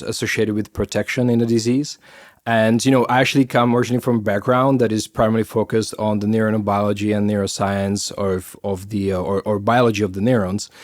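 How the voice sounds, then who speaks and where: A middle-aged Czech-accented man with a lowish voice speaks in a podcast interview. He explains carefully, stacking "you know" and restarting phrases as he goes. His delivery is thoughtful and technical. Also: a little mellow. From Czech Republic. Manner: explanatory, frequent fillers and restarts. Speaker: biotech founder.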